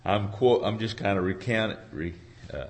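A hoarse man preaching an informal sermon in a halting, folksy way, searching for his words and clearing his throat. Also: on the low side. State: hoarse from a cold. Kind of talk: informal sermon with congregation responses.

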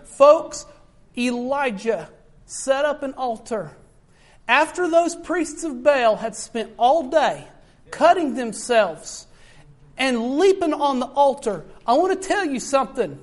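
A man with a high voice preaching a sermon in an earnest, repetitive way, often calling out to his listeners. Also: big pitch swings, slow.

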